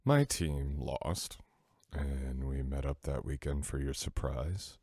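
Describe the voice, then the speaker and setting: A man playing a sweet, teasing, affectionate boyfriend in an intimate ASMR roleplay, soft-spoken and unhurried. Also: rumbling low, husky, dry recording, clean studio sound, full character voice.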